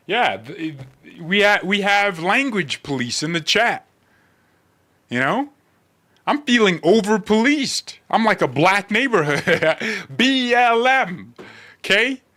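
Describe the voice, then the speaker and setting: A man rambling casually and comedically to livestream viewers in a brash, mocking, animated manner. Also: a little high.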